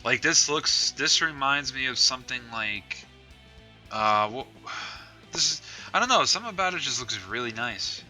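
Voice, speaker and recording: Bright, forceful man bantering on a podcast. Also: mid-range voice, lively intonation, normal speed. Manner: sweary, incredulous, laughs often. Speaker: podcast co-host. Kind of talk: casual comic-review banter. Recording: music playing behind the voice.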